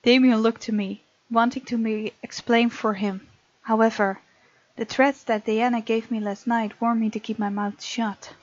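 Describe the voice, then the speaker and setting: A woman's voice: on the high side, level tone, normal speed, no room sound. A woman hosting a let's play, reading game text aloud and chatting casually as she reacts to the story. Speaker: gaming video host. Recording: muffled lo-fi mic over game music. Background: game music.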